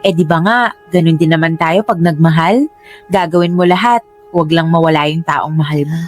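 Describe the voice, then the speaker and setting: A woman's voice: sits low, moderate pace, natural voice. An actress playing a breezy, self-assured, lovestruck young Filipino woman in a radio drama, playful and chatty. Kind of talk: Tagalog radio drama acting. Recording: music bed under dialogue.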